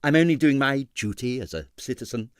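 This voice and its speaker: Man acting a pompous, animated amateur sleuth. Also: medium pitch, fairly quick, clear, resonant tone, no echo, studio quality, voice pushed up, close to an everyday voice. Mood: self-important. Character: self-important male amateur sleuth, earnest and boastful.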